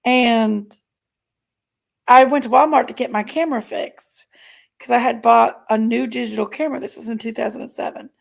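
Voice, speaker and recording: A woman hosts a casual live stream, chatting frankly and giggling as she answers viewers. Her voice sits a little high and carries a gravelly fry. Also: fairly even intonation, moderate pace. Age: 40s. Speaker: livestream host. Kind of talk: casual chat reading viewer comments.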